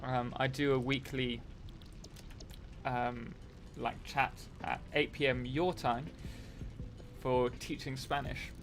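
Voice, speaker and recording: A man's voice: mid-range voice, flat delivery, slow, faint music behind. A young man hosting a casual live stream while playing a game, rambling and answering chat messages.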